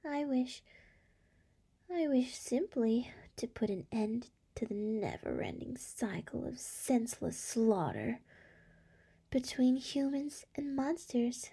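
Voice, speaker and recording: A seductive female demon lord played by a woman speaks in slow, breathy whispers for an ASMR roleplay. Her soft, dark voice has a creaky edge and a teasing, scheming purr, very close to the mic. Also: on the high side, expressive, full character voice. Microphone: close microphone.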